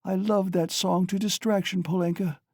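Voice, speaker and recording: A reader voicing a dying, delirious mother, hoarse and gasping, who shrieks and wails. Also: sits high, takes it slowly, dark tone, no echo, clean studio sound, voice pushed up, heavily acted. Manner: shrieking, frantic, wailing.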